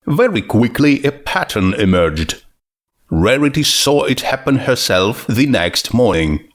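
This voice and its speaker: Man, story narrator, leisurely pace, animated intonation, medium pitch, scripted reading. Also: unhurried.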